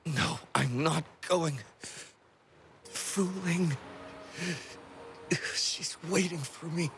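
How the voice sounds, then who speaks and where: A man's voice: a little high, drawn-out words, background noise. An actor playing a dazed, stubborn, injured male explorer in a video game, weak and faltering. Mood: dazed, insistent. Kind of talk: scripted game dialogue. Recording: game music behind voice.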